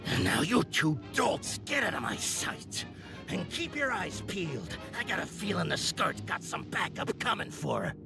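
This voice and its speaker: A menacing gangster boss in a noir video game. His voice creaks and lurches up and down with scorn, spitting old-time mob slang over music. He has no patience for fools. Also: on the high side, wildly swooping pitch, moderate pace, full character voice. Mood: scornful, menacing. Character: menacing impatient mob boss berating his dim henchmen. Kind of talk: 1940s noir gangster acting.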